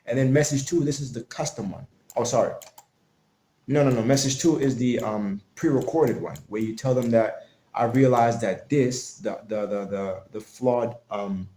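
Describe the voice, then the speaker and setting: Man, marketing coach type, talks loose and mellow, plenty of restarts, roomy echo on the track. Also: fairly low pitch. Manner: loose and conversational, frequent restarts and fillers. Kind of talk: casual tutorial talk. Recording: echoey room.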